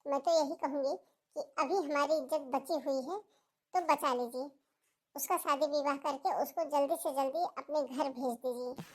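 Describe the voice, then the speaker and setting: A woman's voice: sits high, fairly even intonation, normal speed, darkish tone, close to an everyday voice. A woman voicing a protective, blunt middle-aged mother in a cartoon family drama, speaking in a firm, plain way. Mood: firm, insistent.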